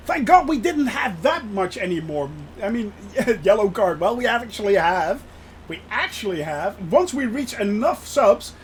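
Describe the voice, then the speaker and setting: A man's voice: high voice, slight background noise. A Dutch man, native Dutch speaker, giving chatty live-stream commentary while flying a sim and answering his chat with frequent laughs. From Netherlands.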